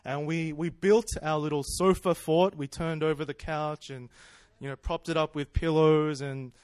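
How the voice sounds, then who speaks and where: A man's voice: a little high, steady tone, normal speed, darkish tone, smooth, no echo. A man, preaching in a chatty, self-deprecating storytelling way that often breaks into laughs. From Australia. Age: 30s. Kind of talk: personal storytelling sermon.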